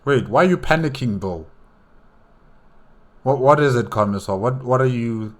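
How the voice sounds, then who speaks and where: A man's voice: mid-range voice, normal speed. A man giving casual reaction commentary, thinking aloud with many questions and repeated words. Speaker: reaction video host.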